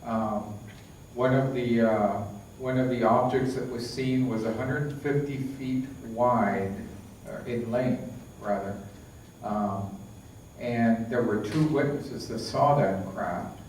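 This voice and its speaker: A man's voice: medium pitch, takes it slowly, darkish tone. A man lecturing to an audience and talking it through casually, full of fillers and restarts. He sounds far from the mic in a very echoey hall. A faint hiss sits under his voice. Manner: conversational, many fillers and restarts. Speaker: lecturer, book author. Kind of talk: conference lecture.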